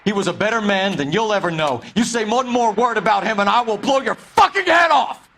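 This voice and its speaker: An actor playing an intense, righteous police detective with a forceful, commanding manner in a video game cutscene. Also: male voice, sits high, animated, fairly quick, slight background noise, exaggerated voice. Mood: angry, defiant.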